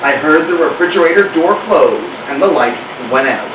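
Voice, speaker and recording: A man's voice: a little high, animated, moderate pace, lo-fi sound, close to an everyday voice. A man voicing a dramatic, self-pitying house cat telling a tale in an emphatic, theatrical way. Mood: suspenseful. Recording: roomy, distant mic, clear hum.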